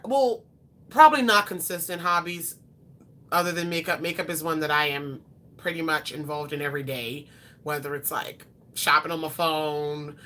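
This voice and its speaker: A woman's voice: low-pitched, pushed and bright. A woman in her twenties chatting casually with viewers and answering their questions, rambling with frequent ums and self-corrections. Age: 20s. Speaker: beauty vlogger.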